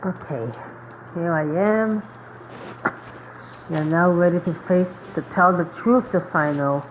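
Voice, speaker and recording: A woman's voice: fairly low pitch, slow, slight background noise, rough recording. A woman streaming a game with casual, informal commentary, rambling and reacting as she plays.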